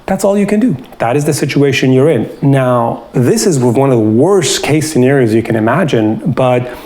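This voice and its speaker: A man's voice: mid-range voice, lively intonation, moderate pace, darkish tone. A man talking in a conversational, instructive way, explaining practical advice as the host of an informal video. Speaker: host, doctor giving travel health advice. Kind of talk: explanatory advice talk with acted-out example dialogue.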